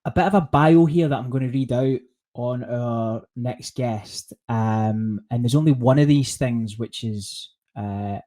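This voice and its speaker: A man's voice: medium pitch, warm tone. A man hosting a podcast interview in a chatty way, often restarting and repeating words. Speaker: podcast host. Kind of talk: casual interview.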